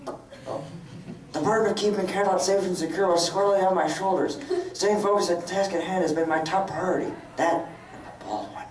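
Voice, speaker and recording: A man's voice: sits high, steady tone, moderate pace, a little hiss or hum, lightly acted. A man reading the part of a dutiful, defensive older-brother pony guard in a comedic live dramatic reading. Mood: defensive, earnest. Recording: echoey room, distant mic.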